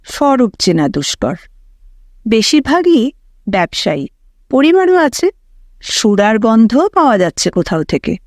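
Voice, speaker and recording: A woman reads a story aloud in a fairly high voice. Her narration is dramatic and expressive, giving life to what the characters think and say. The sound is dry, with no room around it. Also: measured pace, long pauses, dry recording. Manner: expressive, voicing characters' thoughts and dialogue. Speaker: audiobook narrator.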